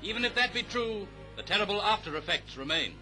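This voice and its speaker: High-pitched British 1930s newsreel man. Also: lively intonation, fairly quick, bright, forceful tone. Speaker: newsreel narrator. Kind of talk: 1930s newsreel scripted narration. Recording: vintage 1930s newsreel soundtrack. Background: music.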